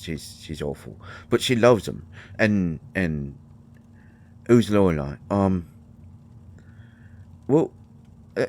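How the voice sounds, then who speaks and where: A man playing a tiny, gruff but shy and caring potion-brewing healer in an audio drama, with a mottled British accent and a halting, stammering delivery. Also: low-pitched, a little mellow, heavily acted. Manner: halting, stammering, mumbling asides. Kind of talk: intimate roleplay audio drama monologue.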